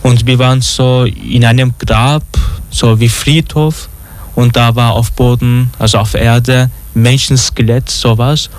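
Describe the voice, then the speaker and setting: A man's voice: mid-range voice, fairly even intonation, unhurried, faint music behind. A young man from Austria, a radio guest, answering hesitantly and searching for his words. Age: young adult. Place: radio studio.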